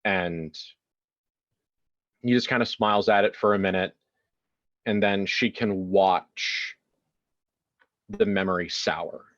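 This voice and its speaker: A man improvising narration for a tabletop role-playing game in a halting way, often repeating words as he searches for the next one. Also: low-pitched, a bit bright, highs cut off. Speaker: tabletop role-player narrating his character. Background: background music.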